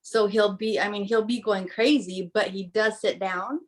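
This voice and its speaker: A woman dog owner in a casual video call, calmly explaining her puppy's progress. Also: medium pitch, moderate pace. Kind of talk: casual chat.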